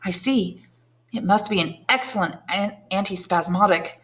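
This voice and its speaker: A woman's voice: medium pitch, normal speed, a bit bright, voice pushed up, lightly acted. A woman voicing a curious, nervous aristocratic mother who asks eager questions in a raised voice. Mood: eager, probing. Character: curious, flattering aristocratic lady, mother, high-strung.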